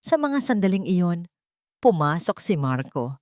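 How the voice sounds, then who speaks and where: A woman with a slightly low voice narrates a scripted drama. Her delivery is emotive and sorrowful, in a dry recording with little room sound. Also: sing-song, measured pace, darkish tone, no room sound. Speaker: audio story narrator. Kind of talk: dramatic scripted first-person story narration.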